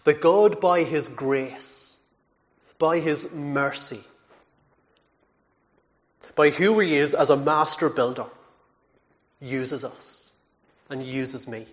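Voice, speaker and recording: A man's voice: fairly high pitch, takes it slowly, pauses often, highs cut off. A man preaching a sermon in an earnest, emphatic way, with self-deprecating asides and frequent repeated words.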